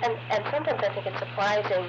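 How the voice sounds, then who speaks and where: A middle-aged woman from Oklahoma, a physician, giving measured, reflective advice in a conversational interview. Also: mid-range voice. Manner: measured, advising. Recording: dull lo-fi tape with loud hiss.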